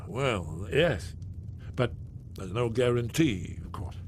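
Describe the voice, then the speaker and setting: An actor voicing an elderly, excitable male loremaster in a video game, rambling theatrically as he explains. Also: medium pitch, slow pace, slight background noise. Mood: musing.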